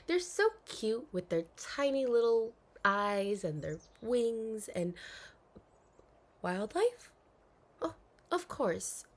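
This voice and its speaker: An anxious young princess , acted by a woman, with a breathy, husky voice and slow, formal storybook diction. She is dutiful but hesitant, hiding her longing in an intimate audio roleplay. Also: medium pitch, heavily acted.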